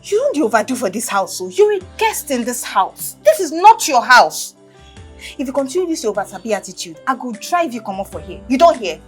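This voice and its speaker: A woman's voice: on the high side, sing-song, a little fast, soft background music. An actress playing a bossy, indignant woman of the house with a Nigerian accent, scolding and confrontational, in a film drama. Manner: confrontational, sharp questioning.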